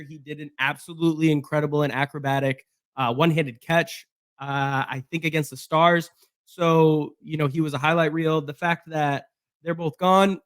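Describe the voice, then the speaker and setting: A hoarse man with a fairly high voice talks through a football roster on his own, rambling with fillers and restarts. The sound is dry and close, with no room around him. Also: no echo. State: hoarse from being sick. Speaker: sports podcast host. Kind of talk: casual solo sports breakdown.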